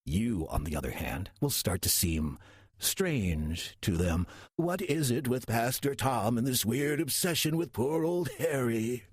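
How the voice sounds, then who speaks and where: An actor playing a slothful demon posing as a friendly churchgoer, casual, sardonic and persuasive, with a rough, husky voice in an audio drama. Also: male voice, medium pitch, big pitch swings, measured pace, dry recording, studio quality. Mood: coolly menacing.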